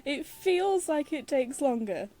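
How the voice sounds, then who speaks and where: A woman's voice: high-pitched, expressive, slow, mellow. A woman giving casual, wry commentary as she plays through a video game, with muttered asides. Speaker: game streamer. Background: faint game music.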